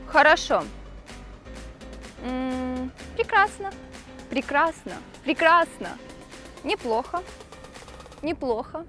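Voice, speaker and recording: A woman teaching phrases in a slow lesson style, deliberately repeating each one. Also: high-pitched, a bit bright. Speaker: language teacher demonstrating phrases.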